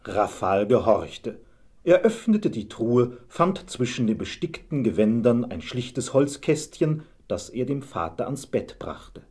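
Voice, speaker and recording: A man's voice: mid-range voice, very animated, a little slow, a little mellow, slightly rough. A man narrating a scripted fairy tale as the storyteller.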